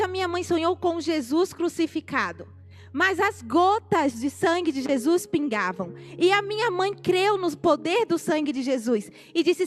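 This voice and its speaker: A woman in her forties with a bright, high Northeastern Brazilian accent gives a missionary testimony from a church platform. She addresses the congregation directly and asks them to raise their hands. Soft music plays faintly behind her in a live church recording. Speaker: missionary speaker. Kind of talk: testimony sermon. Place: church sanctuary.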